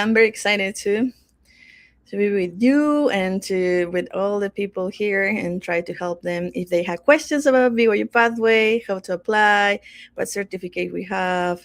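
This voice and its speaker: A woman's voice: medium pitch, vocal fry, no room sound. Cheerful Peruvian woman, dry close sound. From Peru. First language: Spanish. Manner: cheerful, giggly, warm greetings. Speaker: co-host, marketing staff. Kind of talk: casual livestream Q&A chat.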